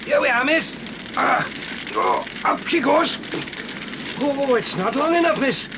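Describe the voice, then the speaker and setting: A man's voice: very high-pitched, animated, slow, noisy background, pitched above the performer's own voice, full character voice. A man playing an obliging, deferential school handyman in a children's audio drama, calling out urgently. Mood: urgent, helpful.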